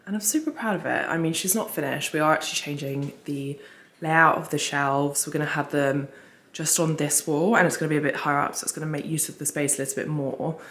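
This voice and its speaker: A woman's voice: low-pitched, brisk, fairly bright tone, husky. A woman, chatting casually in a home vlog with a stuffy nose from a cold. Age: late 20s. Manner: chatty and upbeat. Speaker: lifestyle vlogger. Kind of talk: casual vlog chat to camera. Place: home kitchen.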